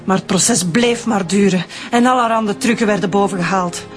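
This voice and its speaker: A woman's voice: medium pitch, moderate pace, faint music behind, close to an everyday voice. An actress with a Flemish accent playing a young woman who killed to avenge her murdered father, speaking bitterly and defiantly in a radio drama. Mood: bitter, grieving.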